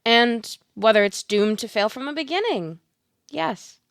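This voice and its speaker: A woman's voice: fairly high pitch, animated, measured pace, vocal fry, clean tone, no echo, clean studio sound. A woman playing a blunt, slyly coaxing tarot reader in an audio play, with a theatrical manner and pet names for her client. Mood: grave, persuasive. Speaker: actor.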